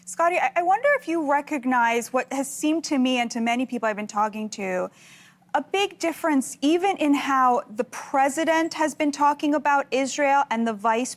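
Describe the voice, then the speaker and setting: High-pitched woman hosting political television. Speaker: TV news host and interviewer. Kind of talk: broadcast political interview, opinionated questioning.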